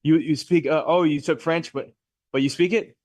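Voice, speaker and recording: Upbeat male instructor, chatty, dry close sound, lively with students. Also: fairly high pitch, moderate pace, no room sound. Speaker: real estate instructor. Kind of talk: live class teaching and chat.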